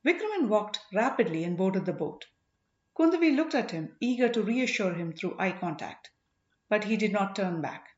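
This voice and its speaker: A woman narrates a dramatic audiobook story. Her pitch is animated and varied, and the recording sounds roomy and slightly dull. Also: moderate pace.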